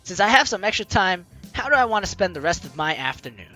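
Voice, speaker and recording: Lively, bright young woman reading a game. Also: on the low side, fast talker, soft background music. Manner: playful, theatrical reading, chatty asides. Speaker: gaming YouTuber, host. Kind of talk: let's play, reading game text aloud with commentary.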